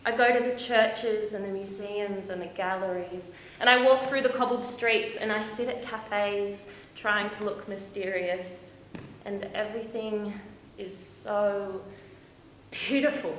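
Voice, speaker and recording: A woman performing a stage monologue as a young, romantic, homesick backpacker, confiding and expressive with dramatic pauses. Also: mid-range voice, lightly acted. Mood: wistful, eager. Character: young Australian woman backpacker, dreamy, lovestruck, heartbroken, homesick. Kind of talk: theatrical stage monologue, storytelling to an audience. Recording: echoey room with faint noise.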